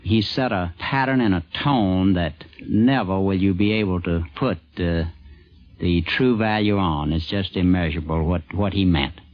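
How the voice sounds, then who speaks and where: A middle-aged man giving an earnest, hesitant, measured tribute in a 1950s radio interview. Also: deep voice, highs cut off, soft background music. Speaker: interviewee, newspaper sports editor. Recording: 1950s radio recording, dulled sound.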